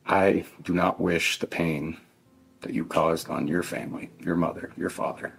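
A middle-aged man with a very low, gravelly voice addresses someone as a grieving parent in a formal statement. He is stern and deliberate, leaving heavy pauses between short phrases. Faint guitar music runs underneath. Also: steady tone, a bit bright. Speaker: bereaved father addressing his child's killer. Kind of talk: victim impact statement addressed to one person.

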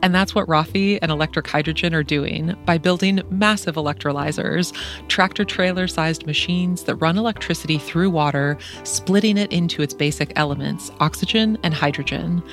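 A podcast host with a mid-range voice reads scripted narration in a clear, measured way. Faint music sits under the voice. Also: medium pitch, fairly bright tone. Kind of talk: scripted narration and interview questions.

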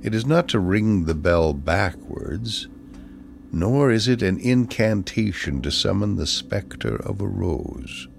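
A man reading poetry aloud in a solemn, measured and deliberate manner, leaving pauses between phrases. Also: fairly low pitch, animated, gravelly. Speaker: poetry reader. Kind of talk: dramatic poetry recitation.

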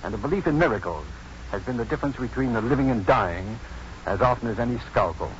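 A man's voice: mid-range voice, lively intonation, fast, lightly acted. Proud maverick physician played by a male actor, formal and sardonic, 1950s radio play, dull hissy recording. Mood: firm, earnest. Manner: formal, precise, dryly sardonic. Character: proud unconventional male doctor, coolly defiant and dryly witty. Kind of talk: 1950s radio drama acting.

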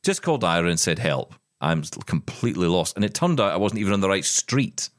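Podcast co-host, male, low voice, loose rambling delivery, close dry mic. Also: big pitch swings, a little fast, no echo. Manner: rambling, repeats words when starting sentences. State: blind, describing progressive vision loss. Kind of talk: casual chat.

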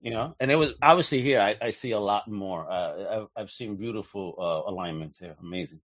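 A man's voice: on the low side, brisk, fairly bright tone, lo-fi sound. A man hosting a casual video call chat, enthusiastic, laughing often and filling his talk with "you know". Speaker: host.

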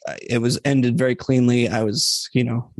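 Even-toned man giving hesitant opinions. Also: medium pitch, normal speed. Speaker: podcast guest reviewer. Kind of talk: casual book discussion.

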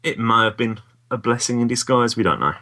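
A man's voice: mid-range voice, animated, a little fast, sharp tone. A British man co-hosting a podcast in casual, conversational chat. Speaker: podcast co-host.

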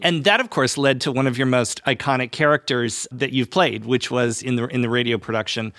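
A man hosting an interview, putting prepared questions in a measured, slightly formal conversational way. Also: mid-range voice, steady tone, a bit bright, no room sound. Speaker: interviewer, host.

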